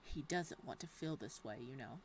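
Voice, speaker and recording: A young woman hosting a horror game playthrough, chatty and excitable, reading the game text aloud. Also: rumbling low, normal speed, a little hiss or hum. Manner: bubbly and excitable, laughs often between lines. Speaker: gaming channel host.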